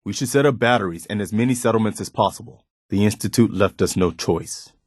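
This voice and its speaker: Low-voiced, earnest wasteland militia officer played by a man. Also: brisk, no room sound, studio quality, natural voice. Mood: earnest, firm. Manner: sincere, plainspoken, folksy, dutiful. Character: earnest, steadfast male militia officer companion in a post-apocalyptic wasteland. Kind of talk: video game voice acting, companion dialogue.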